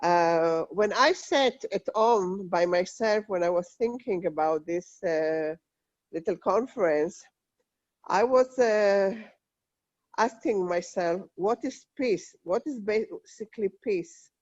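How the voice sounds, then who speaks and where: A woman with a Hebrew accent speaking on an online panel, slow and halting with many fillers and restarts. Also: mid-range voice, a little mellow, dry recording. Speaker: conference panelist. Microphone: video call. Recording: dull lo-fi video call audio.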